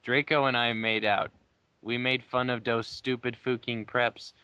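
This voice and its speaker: A man's voice: medium pitch, level tone, measured pace, pushed and bright, smooth, no echo, lo-fi sound. A man giving a dramatic reading of fan fiction, breaking off with mocking asides. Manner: breaks off to mock the text. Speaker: player in a dramatic reading game.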